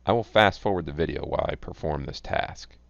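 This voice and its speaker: A man giving scripted, instructional narration as a software tutorial presenter, reading in a measured, enunciated way. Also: rumbling low, vocal fry, no echo. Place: close, dry voice-over recording. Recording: dull, lo-fi close voice-over.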